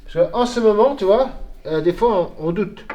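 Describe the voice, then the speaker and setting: A man's voice: high voice, lively intonation, normal speed, lo-fi sound. A man chatting casually backstage, repeating himself as he talks. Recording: echoey room with loud background noise.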